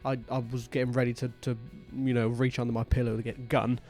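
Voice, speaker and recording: Man, mid pitch, joking podcast host, casual chat, faint music. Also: flat delivery, a little mellow. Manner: chatty, joking, quick to tease. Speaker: podcast host and band member.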